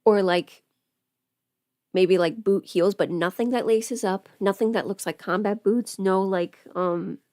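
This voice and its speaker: A woman's voice: mid-range voice, a little slow, darkish tone, no echo. Female livestreamer, mid-range voice, off-the-cuff and loosely rambling get-ready chat, mildly sweary, very dry sound. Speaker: livestream host. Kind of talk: casual livestream chat while doing makeup.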